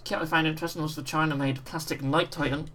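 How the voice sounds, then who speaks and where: A British man with a croaky voice hosting a livestream, chatting casually and reading out viewer messages while painting miniatures. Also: on the high side, quick pace. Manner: relaxed, reads out chat messages. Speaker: livestream host.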